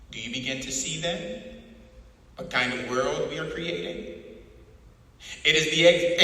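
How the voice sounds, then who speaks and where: A man's voice: a little high, bright, forceful tone, heavily acted. A man voicing a cold, zealous interrogator in a dramatic novel reading, declamatory and emphatic like a schoolmaster. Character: cold, zealous male interrogator preaching absolute power. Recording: very echoey hall, long reverb.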